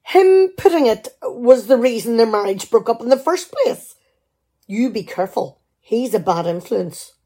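A woman's voice: fairly high pitch, very animated, unhurried, mellow, heavily acted. A middle-aged woman, playing a shrewd, teasing, practical wife in a radio play. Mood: exasperated, suspicious. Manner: dry, bantering, probing. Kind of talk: amateur radio play dialogue.

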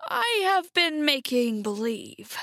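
A woman's voice: a little high, expressive, measured pace, no room sound, studio quality. A woman voicing a timid little old man, a humbug wizard, in a storybook reading, meek and pleading. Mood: meek, hushed.

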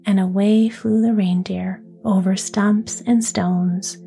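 A woman narrating a fairy tale in a soft unvoiced whisper, ASMR style. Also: medium pitch, fairly even intonation, slow, creaky, soft background music.

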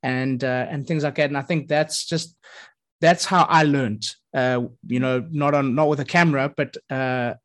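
A man's voice: mid-range voice, fairly even intonation, moderate pace, a bit bright. A man hosting a casual interview, enthusiastic and prone to stumbling restarts as he asks questions.

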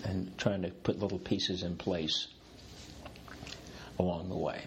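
Man in his sixties, low voice, reflective interview guest, restarts often, faint room ambience. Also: fairly even intonation, slow, noisy background. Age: 60s. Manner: reflective, rambling with restarts, occasional laughs. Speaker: executive coach, guest. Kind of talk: interview conversation.

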